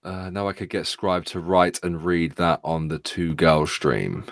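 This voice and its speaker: Joking man reading chat, lowish voice. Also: fairly even intonation, a little slow, fairly bright tone, dry recording, studio quality. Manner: jokey, reads chat aloud. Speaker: stream co-host. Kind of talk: casual stream chat.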